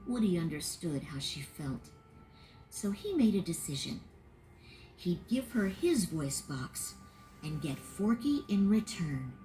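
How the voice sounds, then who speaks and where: Expressive woman reading a storybook. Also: lowish voice, takes it slowly, music in the background, poor audio. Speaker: narrator. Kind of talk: storybook read-along narration.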